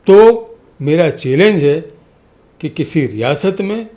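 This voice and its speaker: Emphatic higher-pitched man in political interview. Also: animated, slow pace, warm tone. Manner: emphatic, oratorical, repeats phrases in parallel for effect. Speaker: podcast guest, community activist. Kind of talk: impassioned political interview talk.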